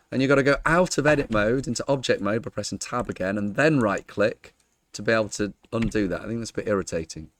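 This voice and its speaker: A man with a croaky, half-lost voice, talking through a screen-recorded modeling tutorial in a chatty, jokey way with frequent ums. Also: mid-range voice, no echo. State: croaky, losing his voice. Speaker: Blender tutor.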